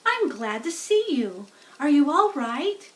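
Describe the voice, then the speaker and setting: A woman voicing an excitable, anxious, friendly young male pig in a storybook, with eager, fretful exclaiming. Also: high voice, slow pace, far from the mic, voice pushed up, full character voice.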